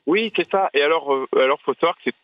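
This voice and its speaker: A man from Brittany, a traveller being interviewed on radio, telling anecdotes in an animated, searching way with small restarts. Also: on the high side, fast, no echo, highs cut off. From Brittany, France.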